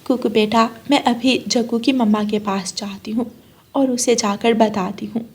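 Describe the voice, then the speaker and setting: A woman's voice: a little high, fairly even intonation, a little slow, a little mellow, slightly rough. A woman voicing an earnest, protective mother bird, firm and insistent, in a children's cartoon. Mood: determined.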